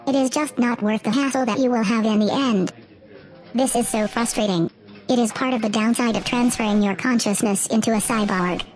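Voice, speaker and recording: A woman's voice: on the high side, a little mellow, close to an everyday voice, low-quality recording. A woman playing a sardonic, easily exasperated cyborg lawyer in a sci-fi audio drama, politely menacing.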